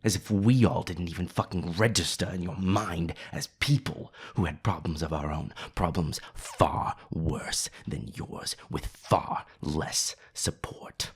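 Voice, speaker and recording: A man voicing a haughty, scornful vampire with a raspy, breathy voice in a scripted audio drama. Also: low voice, steady tone, a little slow, hardly any pauses, heavily acted. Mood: bitter. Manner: haughty, cutting, sardonic. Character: scornful, condescending male vampire, bitter rival. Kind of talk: scripted audio roleplay acting.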